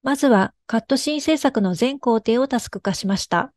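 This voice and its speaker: Higher-pitched woman, formal scripted presenter. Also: brisk, a little mellow, dry recording.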